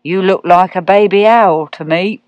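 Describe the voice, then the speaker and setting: Kindly male cat, drawling, unhurried, lower voice, put on by a woman narrator, dry sound. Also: dry recording, dull audio, close to an everyday voice. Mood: gentle. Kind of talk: storybook reading.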